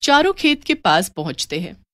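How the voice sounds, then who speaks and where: A woman's voice: mid-range voice, big pitch swings, normal speed, fairly bright tone, no echo, studio quality. A woman narrating a scripted story as its narrator.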